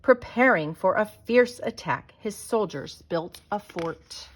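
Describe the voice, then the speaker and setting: A woman reading a children's picture book aloud as a storyteller, with dramatic, deliberate delivery. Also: mid-range voice, animated, slightly rough.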